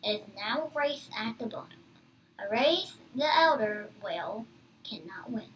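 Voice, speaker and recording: A child reading a book aloud with casual asides, halting and stumbling over hard words. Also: medium pitch, expressive, fairly bright tone, recorded from a distance, a little hiss or hum, low-quality recording.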